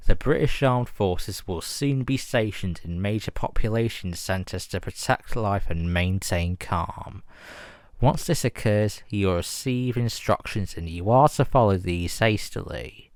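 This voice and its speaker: Low-voiced man reading a grave emergency announcement. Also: a little slow, dry recording, studio quality. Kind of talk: scripted fictional emergency broadcast announcement.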